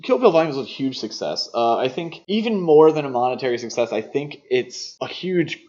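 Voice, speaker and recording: A man co-hosting a podcast, discussing films conversationally in a measured, analytical and opinionated way. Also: medium pitch, very animated, a little mellow, muffled recording. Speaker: podcast co-host.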